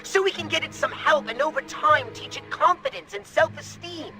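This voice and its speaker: An actor voicing an irascible, scheming alien commander in a cartoonish video game, pompous and sarcastic, with a shrill, gravelly voice. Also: male voice, moderate pace, sharp tone, faint music behind, exaggerated voice. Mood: sarcastic, exasperated.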